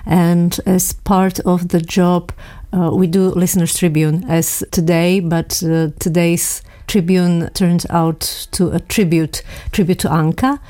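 A woman presenting a radio program and interviewing a colleague in a calm, steady way. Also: lowish voice, measured pace. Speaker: radio host.

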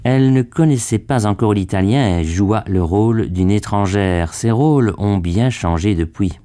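A man presenting a scripted radio feature, reading in a measured, formal way. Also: fairly low pitch, mellow, no room sound.

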